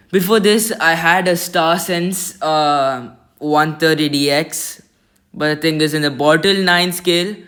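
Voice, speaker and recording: A man with a squeaky voice giving a rambling, self-conscious voice-over review of a telescope, recorded on a laptop mic. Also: a bit bright, clean tone. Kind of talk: casual voice-over product review.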